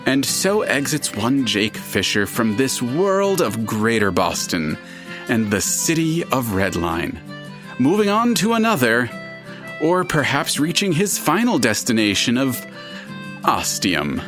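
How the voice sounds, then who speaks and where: A man acting in an audio drama as a detached, formal narrator who speaks deliberately and matter-of-factly. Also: medium pitch, sing-song, music in the background. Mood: solemn narrating.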